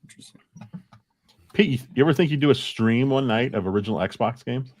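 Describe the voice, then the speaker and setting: Guy hosting a casual chat, talks fast, keeps tossing questions out. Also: mid-range voice, a little mellow. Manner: prompting others with questions. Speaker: panel host.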